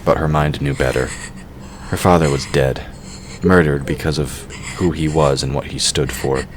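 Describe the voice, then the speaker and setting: A man's voice: extremely low pitch, steady tone, moderate pace, slight background noise. A man reading scripted narration for a fantasy audio drama.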